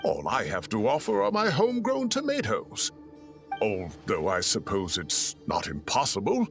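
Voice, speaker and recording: A fussy but kindly older professor from a video game. His pitch leaps about as he chats and mock-grumbles theatrically, over soft music. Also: huge pitch swings, moderate pace, gravelly, exaggerated voice. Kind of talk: animated video game voice acting. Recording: music under the voice.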